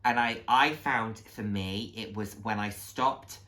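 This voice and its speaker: A man's voice: fairly low pitch, takes it slowly, bright, forceful tone. Male vlogger, late 20s; heartfelt, encouraging, informal delivery with a reflective streak. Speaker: vlog host. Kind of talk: casual heartfelt talk to viewers.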